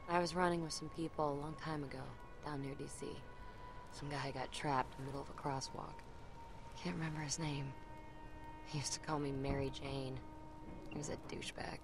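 A jaded young woman who has survived too much. Her voice crackles with fry and her delivery is understated, blunt and dryly wry. Music plays behind this game dialogue. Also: sits low, fairly even intonation, normal speed. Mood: somber, wry. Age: young adult. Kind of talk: naturalistic game acting.

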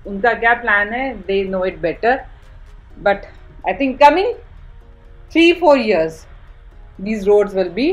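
A woman's voice: mid-range voice, rough recording. Woman chatting casually in a car. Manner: casual, mixes Hindi with English phrases. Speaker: travel vlogger. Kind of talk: road trip vlog commentary. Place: inside a car on a rough mountain road.

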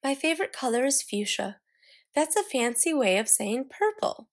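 Excitable little girl acted by a woman, high voice with some creak, dramatic storybook delivery, proud and fancy. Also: moderate pace, exaggerated voice. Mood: proud, eager.

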